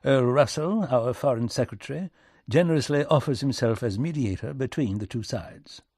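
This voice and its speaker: A man playing a formal, reluctant British diplomat in an audiobook, speaking in measured, courteous sentences. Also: medium pitch, a little mellow, husky, no echo, clean studio sound. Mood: stiff, official. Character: Lord Lyons, formal, reluctant middle-aged British diplomat.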